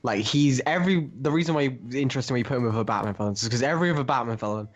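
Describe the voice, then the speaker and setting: Rambling, opinionated man with a mid-pitched voice. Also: very fast, lo-fi sound. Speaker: podcast panelist. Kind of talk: casual comic book discussion.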